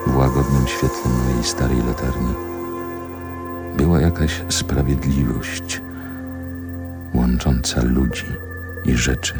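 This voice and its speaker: An older male actor playing a reflective poet recalling his youth in a radio drama, speaking in a measured literary manner. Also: very deep voice, fairly even intonation, loud music behind the voice, exaggerated voice. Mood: nostalgic, reflective. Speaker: narrator and protagonist.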